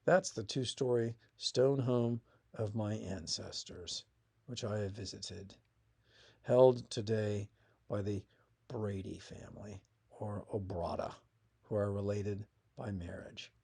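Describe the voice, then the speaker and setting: A man narrating a scripted piece of family history as its author, in a measured reading with emphatic phrase openings that fall away. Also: fairly low pitch, steady tone, creaky, dark tone, slightly rough. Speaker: author reading own essay.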